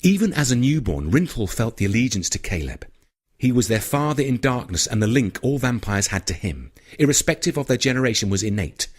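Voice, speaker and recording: A man's voice: medium pitch, very animated, a little fast, husky. A man reading horror fiction as an audiobook narrator, in a scripted, dramatic storytelling style.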